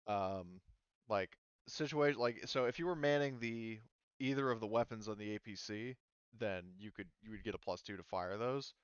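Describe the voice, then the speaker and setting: A man's voice: fairly low pitch, moderate pace, clean tone, dry recording, dull audio. A man acting as game master, casually explaining tabletop game rules to players.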